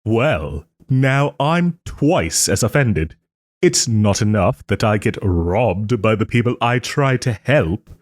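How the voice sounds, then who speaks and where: A man playing a proud, sarcastic nobleman in a scripted roleplay audio drama, with haughty, theatrical phrasing and pointed pauses. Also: fairly low pitch, lively intonation, no echo, clean studio sound. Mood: offended, mocking. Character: wry, wounded young nobleman lord, sarcastic and proud.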